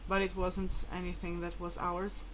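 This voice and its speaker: A woman's voice: on the low side, completely flat delivery, moderate pace, a bit bright, slight background noise, poor audio. A woman whose mother tongue is Portuguese, talking casually and hesitantly to viewers in a rambling, informal vlog. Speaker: vlogger.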